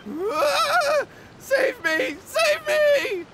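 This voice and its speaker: A man's voice: very high-pitched, very animated, extremely slow, heavy background noise, heavily acted. A man voicing a timid, scheming young man in a video game, with a flustered, stammering, pleading manner. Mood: terrified. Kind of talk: scripted video game voice acting.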